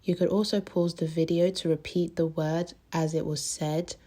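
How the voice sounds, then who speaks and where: A woman giving calm, measured study advice as a voice-over. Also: on the low side.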